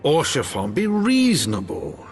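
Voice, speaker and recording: A man's voice: medium pitch, wildly swooping pitch, a little slow, faint music behind. A man playing a stern older noble father in a fantasy game, admonishing in formal archaic speech. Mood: stern, cautioning.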